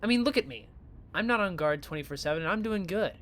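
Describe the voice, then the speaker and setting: A man playing a flippant but caring young student in an audio drama, talking in a casual, sardonic, expressive way. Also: high voice, fast talker, slight background noise. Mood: teasing concern.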